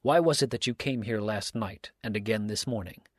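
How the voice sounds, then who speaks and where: A man voicing a stern, righteous countryman in an audiobook, speaking in a measured, formal, sermonizing way. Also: on the low side, no echo, studio quality, natural voice. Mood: coolly reasoning. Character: stern, unhurried righteous countryman, preaching and probing. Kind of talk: audiobook character dialogue.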